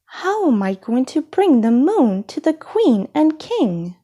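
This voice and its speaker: A woman's voice: sits high, big pitch swings, slow pace, dark tone, husky, voice pushed up, full character voice. A woman voicing an earnest, determined young girl explorer in a children's storybook.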